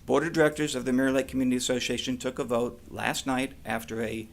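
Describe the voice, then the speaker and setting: A man speaking for a community association at a city meeting into a podium microphone, measured and formal. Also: mid-range voice, level tone, vocal fry, a little hiss or hum. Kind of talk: public comment at city meeting. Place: city council chamber.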